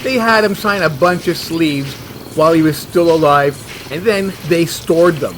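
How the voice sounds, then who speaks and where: An actor plays a stubborn, fussy male monster hunter in a comedy audio drama. He is defensive and obtuse, with a slightly high voice over clear background noise. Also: unhurried, a bit bright, rough recording. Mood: fussy, matter-of-fact. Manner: defensive, obtuse, matter-of-fact.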